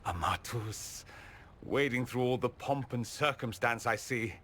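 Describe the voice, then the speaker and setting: A man's voice: mid-range voice, unhurried, fairly bright tone, slight background noise, lightly acted. A man playing a witty, theatrical mage ambassador in a video game, arch, eloquent and affectionate. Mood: wry, playful. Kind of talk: video game cutscene acting.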